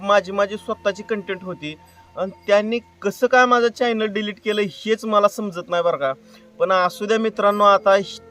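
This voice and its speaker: A man's voice: high-pitched, fairly bright tone, recorded from a distance. A man making an earnest, plain-spoken personal appeal to his viewers, repeating himself for emphasis. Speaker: YouTube creator and prank caller.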